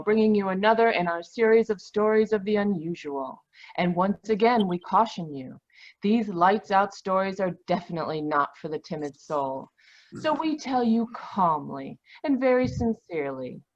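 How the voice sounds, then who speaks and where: Woman radio announcer, lowish voice, dramatic scripted horror introduction, dull internet call audio.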